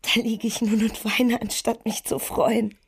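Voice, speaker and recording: Frail tender mother, husky whispery voice, slow worried delivery, radio drama. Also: fairly high pitch, little pitch movement, full character voice. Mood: weak, tearful. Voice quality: husky, breathy.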